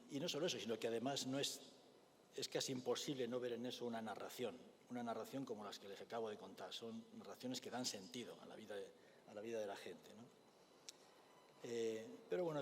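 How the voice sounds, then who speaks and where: A man with a Spanish accent speaks in a measured, reflective lecture voice of middle pitch, often pausing with small hesitation fillers. His voice rings with the long reverb of a large hall, over a faint hiss of background noise. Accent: Spain. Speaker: clinician giving a conference talk. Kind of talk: forum lecture with storytelling.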